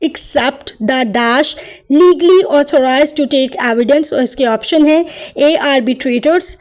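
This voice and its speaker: A woman reads law exam questions aloud as an online tutor, with a high, light voice. She takes each line slowly and carefully, giving the options and the right answer one by one. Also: a little mellow. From Pakistan. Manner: slow, deliberate reading of legal text, brisker between questions.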